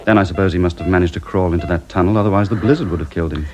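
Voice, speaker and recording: An actor playing a blunt, busy hospital doctor in a radio drama, brisk and matter-of-fact. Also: male voice, low-pitched, a little mellow, dull audio, a little hiss or hum, natural voice. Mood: grim, brisk.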